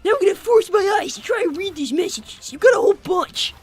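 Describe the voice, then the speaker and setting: A woman's voice: very high voice, fairly quick, soft background music. A woman voicing a precocious, snarky five-year-old cartoon boy with pompous, formal phrasing on an in-character gaming livestream. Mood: indignant. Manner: pompous, deadpan, formal wording beyond his age.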